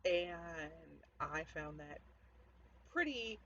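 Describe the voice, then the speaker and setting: A woman's voice: fairly low pitch, lively intonation, very slow, bright, forceful tone. Woman writer doing a story reading at home, informal, kinda dull sound w a bit of noise. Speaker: author reading own fiction aloud. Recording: dull lo-fi audio, noticeable background noise.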